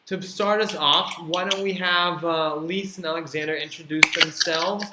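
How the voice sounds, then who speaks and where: A man's voice: on the high side, steady tone, normal speed. A man hosting and moderating an online panel, explaining in a loose way with plenty of fillers. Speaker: host and platform founder. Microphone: video call. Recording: echoey room with faint noise.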